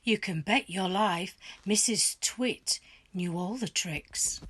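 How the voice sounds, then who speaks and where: A woman reading a children's storybook aloud in a dramatic, deliberate narrating style. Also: mid-range voice, fairly bright tone, slightly rough. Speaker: school staff member reading aloud.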